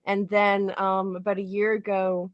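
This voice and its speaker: A woman giving a casual interview as a playwright, speaking haltingly and thinking aloud. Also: medium pitch, completely flat delivery, dry recording, lo-fi sound. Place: remote video call.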